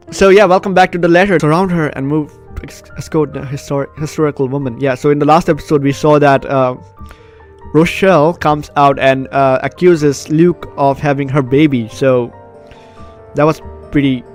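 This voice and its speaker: A man's voice: on the high side, steady tone, moderate pace. A man hosting a casual let's play, chatting and reading a story game's text aloud. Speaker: gaming video host. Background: game music.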